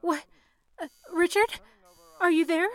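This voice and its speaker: High-voiced young female telephone operator, played by an actress, dutiful, anxious, caring, in vintage-style radio drama. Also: fairly even intonation, measured pace. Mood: alarmed. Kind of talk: 1930s-style radio play acting.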